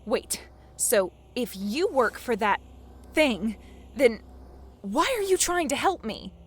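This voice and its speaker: A woman's voice: on the high side, sing-song, takes it slowly, breathy edge, heavily acted. An actress in an audio drama playing a frightened but defiant teenage girl with a breathless, incredulous manner. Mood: wary, questioning.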